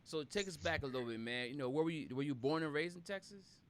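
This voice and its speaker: A man hosting a podcast, who backs his guest with quick affirmations and follow-up questions. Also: a little high. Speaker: podcast host. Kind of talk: casual interview chat.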